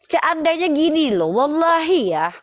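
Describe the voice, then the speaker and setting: A woman's voice: high-pitched, very animated, measured pace. A woman arguing insistently as host of a live religious debate, often repeating her questions.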